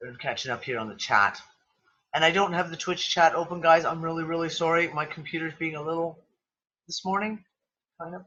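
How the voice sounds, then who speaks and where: A man hosts a loose online group chat over a video call, his bright, slightly high voice worn down, sniffly and on the edge of giving out. He rambles from point to point with exclamations and casual swearing. The stream audio sounds dull and lo-fi. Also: a little fast. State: losing voice, sniffly. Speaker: livestream discussion host. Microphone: computer mic on a video call.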